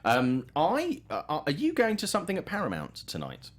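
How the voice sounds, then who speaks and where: A man's voice: fairly high pitch, wildly swooping pitch, moderate pace. A man hosting a film news show in a casual, chatty and enthusiastic way.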